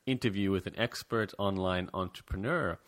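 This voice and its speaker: Deep-voiced male podcast host. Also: moderate pace, a bit bright, slight background noise. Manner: chatty, friendly, with frequent fillers and restarts. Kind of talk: casual interview over a call. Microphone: call recording.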